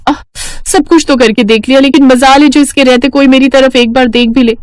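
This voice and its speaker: A high-voiced, spoiled teenage girl in a cartoon fairy tale, played by a woman. She is whiny and haughty, with a jealous, cruel streak, recorded dry and close. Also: steady tone, brisk, no room sound, natural voice. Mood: whiny, spiteful. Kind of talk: Hindi cartoon story character acting.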